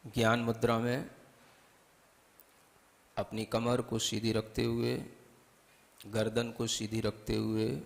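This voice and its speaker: A man with an Indian accent leading a guided meditation as a spiritual teacher, giving calm, deliberate instructions with long pauses. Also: lowish voice, gravelly. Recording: long hall-like reverb.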